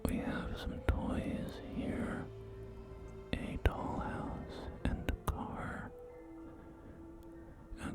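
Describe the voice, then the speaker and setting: A man whispering in an ASMR roleplay as a courteous, enthusiastic post-apocalyptic real estate agent, with genteel, hesitant sales patter. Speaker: roleplay performer. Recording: music behind close whispering. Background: background music.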